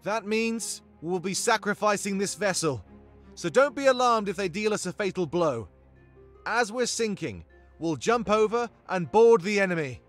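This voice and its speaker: A man's voice: high-pitched, animated, normal speed, soft background music, pitched above the performer's own voice. A man voicing a bold rebel commander in a fantasy visual novel, emphatic and commanding as he addresses his troops.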